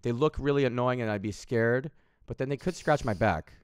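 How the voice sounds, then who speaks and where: Man, 30, casual stream chat, rambling, swears a lot, mid pitch, dry room. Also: a little fast, smooth, dry recording. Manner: rambling, swears freely, frequent restarts. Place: home room with window, indoors.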